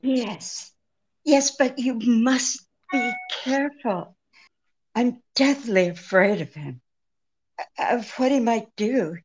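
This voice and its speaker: An actress playing an anxious, pleading, genteel female client in an old-time radio drama, earnest and halting. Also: fairly high pitch, darkish tone, a little hiss or hum. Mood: fearful, pleading. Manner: hesitant, halting, breathlessly earnest. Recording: dull lo-fi radio-play recording.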